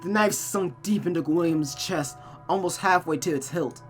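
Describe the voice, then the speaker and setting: A woman's voice: fairly low pitch, normal speed. A woman reading a visual novel aloud as host, narrating the story with casual asides. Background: music.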